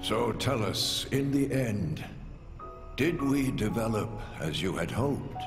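Restrained, serious male game character, lowish pitch, probing, long echoing reverb. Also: lively intonation, slow. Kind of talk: dramatic video game cutscene acting. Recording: echoey long reverb, music behind.

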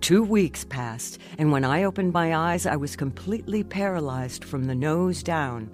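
A woman's voice: sits low, expressive, a little slow, a little mellow, faint music behind. A young American woman playing a married stroke survivor who tells her story earnestly and reflectively in a radio drama. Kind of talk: dramatized first-person testimony, radio drama narration.

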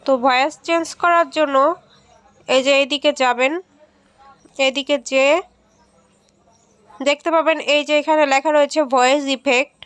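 A woman's voice: sits high, fairly even intonation, normal speed, pauses often, a bit bright, no echo. A woman hosting a casual step-by-step app tutorial. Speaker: tech tutorial host.

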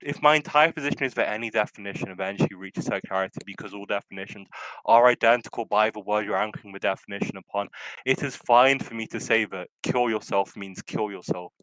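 A man's voice: on the low side, quick pace, fairly bright tone, dry recording, poor audio. A man moderating and arguing in a heated voice-chat debate, sarcastic and combative. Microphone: computer mic on voice call.

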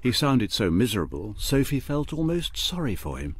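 An actor playing a wry storybook narrator, relishing each descriptive word in scripted radio drama narration. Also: male voice, mid-range voice, sing-song, normal speed, breathy edge, no echo.

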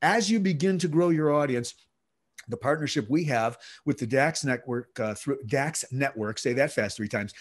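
A middle-aged man, a podcast producer, explaining his craft in a relaxed video-call interview, speaking fluently with frequent restarts and fillers. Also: mid-range voice, moderate pace. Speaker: podcast guest, audio producer.

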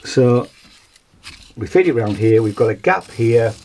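A man in his sixties with a husky voice gives an informal hands-on demonstration, explaining hesitantly as he thinks aloud. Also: medium pitch, lively intonation, measured pace, a little mellow, slight background noise, low-quality recording. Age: 60s. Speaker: vlogger demonstrating vintage audio gear. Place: small home studio with foam panels, indoors.

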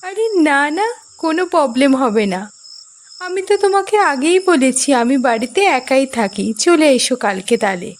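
A woman's voice: high-pitched, lively intonation, normal speed, pitched above the performer's own voice. A woman voicing a friendly, coaxing young ghost woman luring a man in a horror cartoon.

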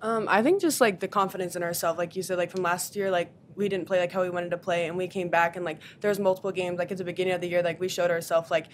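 A young woman talks fast and flat, filling nearly every phrase with "like". She answers press questions as a college player into a table mic, with a faint rumble behind her. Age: young adult. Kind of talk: postgame press conference answers. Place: press room, seated at a table. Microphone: table mic on a stand.

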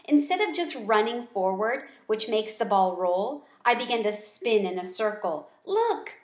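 A middle-aged woman reading a children's book aloud with deliberate, expressive storytelling phrasing. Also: mid-range voice. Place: small room at home. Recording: roomy home recording.

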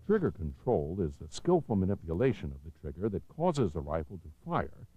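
An American man reading scripted instructional narration for a training film, measured, authoritative and clearly enunciated. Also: sits low, huge pitch swings, dark tone. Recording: dull, lo-fi 1990s videotape soundtrack.

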